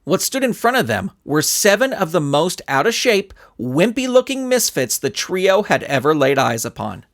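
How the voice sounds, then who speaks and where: A man reading a scripted story aloud in a theatrical, expressive storytelling manner. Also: sits high, moderate pace. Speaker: narrator and author reading his own story. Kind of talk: animated scripted audiobook narration.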